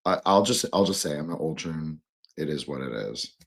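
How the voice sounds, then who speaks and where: A man speaking casually as a podcast host, halting and digressive, with many hedges. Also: a bass voice, lively intonation. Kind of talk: casual, rambling discussion.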